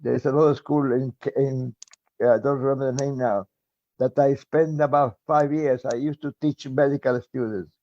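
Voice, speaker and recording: A man of about ninety with an Argentine Spanish accent, speaking as a guest in a relaxed interview, halting with frequent pauses and fillers and chuckling often. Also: mid-range voice, dull audio. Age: around 90. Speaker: guest, retired medical professor. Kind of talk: conversational interview.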